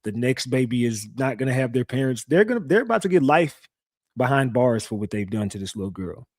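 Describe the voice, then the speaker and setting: A young man with a slightly raspy, recovering voice, hosting a casual live stream and talking loosely to his chat with slang and lots of repeats. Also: medium pitch, big pitch swings, fairly quick, no echo, studio quality. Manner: loose, repetitive, talks straight to chat with slang. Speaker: live-stream true crime host. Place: home streaming setup.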